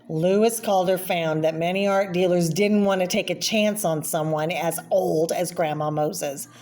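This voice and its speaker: A woman's voice: lowish voice, darkish tone, slight background noise. A woman reading a children's picture book aloud, adding informal explanatory asides about the pictures. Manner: explanatory, pausing to name and comment on pictures. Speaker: read-aloud presenter for children.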